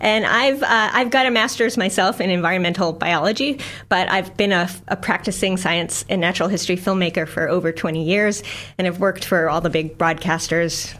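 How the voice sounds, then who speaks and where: A woman's voice: on the low side, a little fast, fairly bright tone. A middle-aged American woman from the United States, a science filmmaker talking as a radio guest, enthusiastic with frequent laughs and many fillers. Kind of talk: radio interview.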